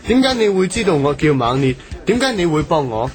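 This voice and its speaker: A man's voice: on the high side, big pitch swings, fairly quick, soft background music. An actor playing a brash, cocky and playful young male company boss in a Cantonese radio drama. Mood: teasing.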